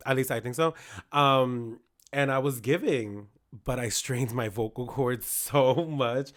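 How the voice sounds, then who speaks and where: Hoarse man in casual chat. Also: medium pitch, a little slow, fairly bright tone. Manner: candid, chatty, quotes himself in asides. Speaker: podcast co-host.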